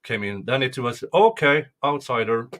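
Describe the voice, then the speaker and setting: A man from Sweden, chatting casually on a stream in an unhurried, thoughtful way with many fillers. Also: mid-range voice, lively intonation, a bit bright.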